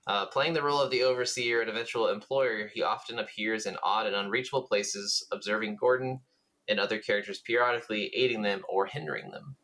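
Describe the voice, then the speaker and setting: A man's voice: fairly low pitch, brisk, bright, forceful tone, rough recording. A man co-hosting a podcast in a casual gaming chat, speaking informally with some mild swearing. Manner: informal, mildly profane. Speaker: podcast co-host.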